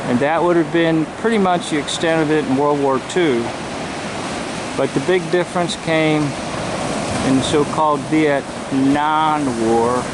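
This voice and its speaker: Slow-talking vlogger over loud surf noise. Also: medium pitch. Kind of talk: monologue to camera, slow and deliberate storytelling. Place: outdoors at a seashore. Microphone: handheld camera microphone. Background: ocean waves.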